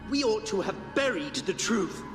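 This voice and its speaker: An anxious, indignant poet acted by a woman, with wide swings in pitch and drawn-out phrasing. The voice echoes through a hall over music. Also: very animated, natural voice. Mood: panicked reproach. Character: agitated, fearful poet blaming a comrade. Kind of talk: scripted video game dialogue. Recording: echoey long reverb, music behind.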